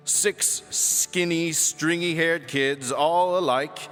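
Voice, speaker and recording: A man's voice: fairly high pitch, takes it slowly, fairly bright tone, long reverb tail, soft background music. A man reading a children's book aloud as a storyteller, with theatrical, emphatic delivery. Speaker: audiobook reader.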